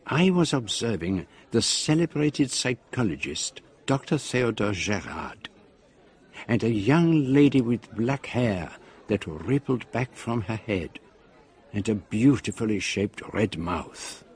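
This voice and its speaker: An actor playing an observant gentleman detective who narrates a radio drama deliberately, in an ominous storytelling manner. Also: lowish voice, sing-song, breathy edge, heavily acted. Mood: discreet, watchful.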